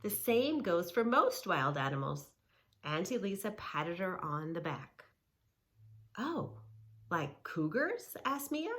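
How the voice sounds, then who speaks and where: A woman reading a children's book aloud and gently guiding kids through yoga poses, soothing and instructive. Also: lowish voice, sing-song, unhurried. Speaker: kids yoga teacher and reader.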